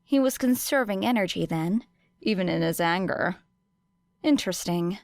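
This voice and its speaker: A woman's voice: medium pitch, expressive, moderate pace, gravelly, a little mellow, no room sound. A woman reading a story aloud as a scripted third-person audiobook narration. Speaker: audiobook reader of fan fiction.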